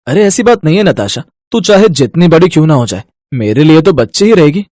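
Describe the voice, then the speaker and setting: An actor playing an eager, respectful young manager and dutiful son in a family storybook tale. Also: male voice, on the high side, big pitch swings, fairly quick, darkish tone, dry recording, clean studio sound. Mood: happy, affectionate.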